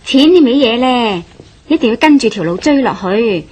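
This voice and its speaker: A woman's voice: fairly high pitch, expressive, moderate pace, darkish tone, husky. A woman playing a pushy, poor companion in a radio drama, exclaiming and goading in Hong Kong Cantonese. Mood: urging.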